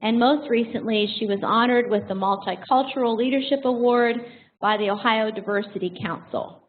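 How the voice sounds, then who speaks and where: An American woman hosts a talk and introduces the guest in an informal way. Her voice sits fairly high. The recording is narrow and phone-like, with some faint noise. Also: normal speed. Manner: jokey, self-deprecating. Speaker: host introducing a guest speaker. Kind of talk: informal spoken introduction to a live audience.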